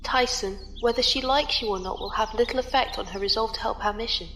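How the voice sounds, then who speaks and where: A woman playing a composed squire and advisor in an audio drama, speaking calmly, precisely and formally. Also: a little high, fast talker, a little hiss or hum. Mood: calm, advising.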